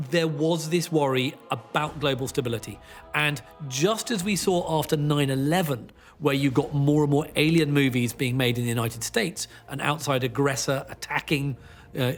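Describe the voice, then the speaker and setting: A man giving unscripted interview commentary as a contributor to a documentary. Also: a little high. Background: music.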